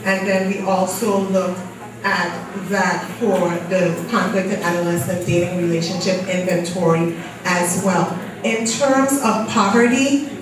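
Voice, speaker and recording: A woman presenting her research and moderating a panel, explaining in a conversational way. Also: medium pitch. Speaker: graduate student presenter and moderator. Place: lecture hall. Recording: echoey hall from far off with audience.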